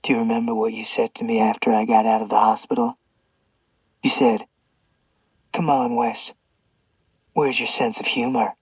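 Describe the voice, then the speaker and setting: Man doing cruel male captor, venomous, slow mocking drawl, husky, dry close sound. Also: mid-range voice, flat delivery, no room sound, recorded from a distance, rough recording. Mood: venomous taunting. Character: cruel sneering male captor, vengeful and taunting. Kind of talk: audiobook character voice.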